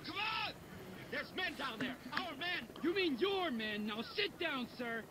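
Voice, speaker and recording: A man playing a hard, bitter military figure forcefully barking orders in a video game. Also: very high-pitched, very animated, a little slow, exaggerated voice. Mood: angry, tense. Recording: noisy game playback under gunfire. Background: gunfire.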